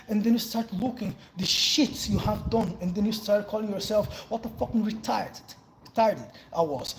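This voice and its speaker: A Brazilian-accented man in his forties talks casually to his viewers, swinging from old stories to answering their comments. His voice sits high for a man. He is picked up by a phone lying on the floor of a wide warehouse, with faint background noise. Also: darkish tone, rough recording. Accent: Brazilian Portuguese. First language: Portuguese. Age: 40s. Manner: storytelling, chatty, reacts to viewer comments. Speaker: vlogger. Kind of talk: casual livestream chat. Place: large open warehouse, indoors. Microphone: phone camera set on the floor.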